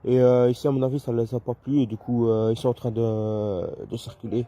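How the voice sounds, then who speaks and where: A man's voice: medium pitch, little pitch movement, brisk, dark tone. A man chatting casually and a little hesitantly as an amateur radio operator, speaking outdoors in a garden. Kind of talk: casual two-way radio chat.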